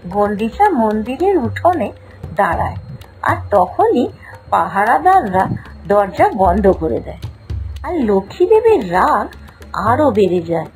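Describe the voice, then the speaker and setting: A woman's voice: mid-range voice, big pitch swings, unhurried, pauses often, darkish tone, faint music behind, low-quality recording. A woman narrating a scripted children's moral story with a dramatic storytelling delivery.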